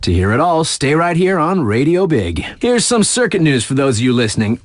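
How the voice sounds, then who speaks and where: A cool, upbeat radio DJ for a snowboarding game. His voice sits a little high and swoops with lively inflection. He delivers news patter in chummy slang, hyping the riders, in a close, dry studio sound. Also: fairly quick, no echo. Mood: upbeat, hyped. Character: upbeat, cool male mountain radio DJ, laid-back hype.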